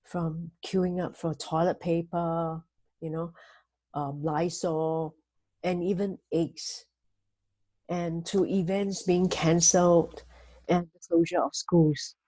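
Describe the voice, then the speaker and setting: A woman from Singapore, hosting a motivational talk and giving weekly life tips in a gentle, measured way with frequent fillers. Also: lowish voice, flat delivery, dry recording, poor audio.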